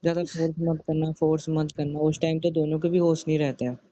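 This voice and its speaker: A man's voice: a little high, flat delivery, brisk, mellow, no room sound, close to an everyday voice. A man playing a young husband in a casual couple skit, coaxing and chiding his wife in a repetitive way. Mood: scolding. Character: concerned young husband, soothing yet scolding his wife. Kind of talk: acted phone-recording style couple skit in casual speech. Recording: dull, lo-fi audio.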